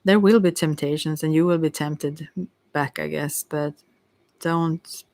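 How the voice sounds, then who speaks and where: A tarot card reader talking informally to listeners in a halting, rambling way, often stumbling over words. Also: medium pitch, steady tone, darkish tone.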